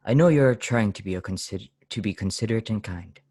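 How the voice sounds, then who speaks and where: An actor plays a brooding, pious lodger in an old-time radio drama, severe and easily angered. His voice is low and solemn, with deliberate, brusque phrasing, recorded very dry. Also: a little mellow, no echo, natural voice. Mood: solemn, weary.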